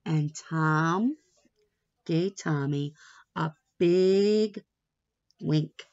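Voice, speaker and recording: A woman reading a picture book aloud to young children like a teacher, playful and expressive, with asides, questions and some Spanish words mixed in. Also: deep voice, drawn-out words, dull audio. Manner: playful, expressive, with gasps and exaggerated reactions; drops Spanish words in and translates them.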